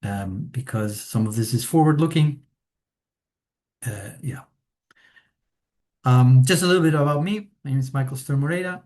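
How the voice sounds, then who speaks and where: Chilean-born man giving a software webinar. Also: mid-range voice, moderate pace, long pauses. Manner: hesitant, many fillers, tag questions. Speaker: implementation consultant presenting a webinar. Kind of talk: presentation walkthrough.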